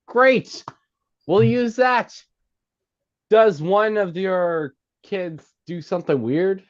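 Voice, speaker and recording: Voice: medium pitch, slow pace, highs cut off, lightly acted. An actor at a script table read playing a blunt, insistent comedy club worker, emphatic and stumbling over lines.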